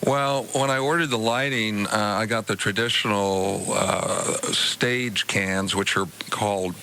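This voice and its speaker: An older American man being interviewed on the radio, explaining things in measured, halting short phrases. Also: lowish voice, fairly even intonation, vocal fry, bright, forceful tone, slight background noise, poor audio. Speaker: interview guest, college department chair and theater director.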